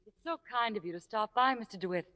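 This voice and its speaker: An actress playing a young, scheming stage understudy with a modest, careful manner in an old-time radio drama. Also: female voice, medium pitch, quick pace, sharp tone, close to an everyday voice. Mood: demure, coy. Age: young adult. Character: demure, falsely humble, scheming young actress. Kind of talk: 1950s radio drama acting.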